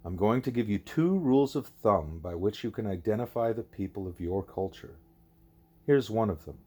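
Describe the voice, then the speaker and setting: A man voicing a wise, patient gorilla teacher in an audiobook, deliberate and didactic, leaning on key words. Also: on the low side, animated, darkish tone, voice pushed down. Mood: patient, instructive.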